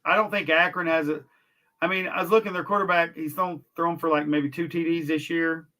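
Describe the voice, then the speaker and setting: A man's voice: on the high side, flat delivery, fairly quick, a crowd in the background. A man co-hosting a casual sports podcast, talking emphatically and hedging his own predictions. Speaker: podcast co-host.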